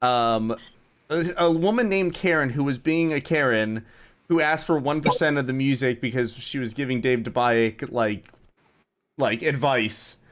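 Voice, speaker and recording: Male stream host, mid-pitched, casual and halting, self-deprecating in his commentary. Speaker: livestream host. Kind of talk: casual livestream commentary chat.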